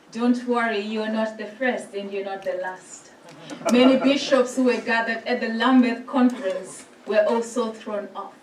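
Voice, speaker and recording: A woman's voice: on the high side, a little hiss or hum. Woman addressing a synod. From Zimbabwe. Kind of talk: formal address with scripture reading. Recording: roomy hall sound from a distant mic.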